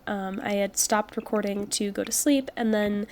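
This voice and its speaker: A young woman with a stuffy, congested voice from a cold, giving relaxed, chatty voice-over commentary with many fillers. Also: medium pitch, moderate pace, a little hiss or hum. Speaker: game build commentator.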